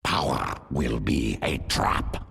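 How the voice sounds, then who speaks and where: An actor playing an ancient primal alien beast in a video game, speaking in terse, solemn pronouncements. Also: male voice, extremely low pitch, lively intonation, slow, gravelly, fairly bright tone, slightly rough, exaggerated voice. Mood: grave, wise. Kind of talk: video game cinematic acting.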